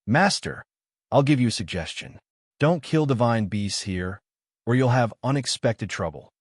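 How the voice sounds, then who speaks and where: A man voicing a young slave girl of a nonhuman clan in a fantasy audiobook, formal, deferential and quietly confident. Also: mid-range voice, expressive, moderate pace, slightly rough, no echo, studio quality. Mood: advising.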